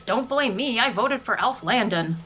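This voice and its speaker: A woman plays a brisk female news anchor in a 1930s radio comedy drama. Her voice is bright and a bit high, reading bulletins in a crisp deadpan over faint hiss. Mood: dry, grave. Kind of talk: scripted spoof radio newscast.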